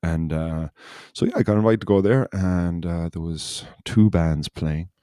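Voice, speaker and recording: A man with a deep, mellow voice, hosting a podcast in easy, casual chat. He wanders through his story and circles back on himself. The sound is dry and close, with no room around it. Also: measured pace, clear, resonant tone, no room sound. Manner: rambling, loose storytelling that circles back on itself. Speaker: podcast host.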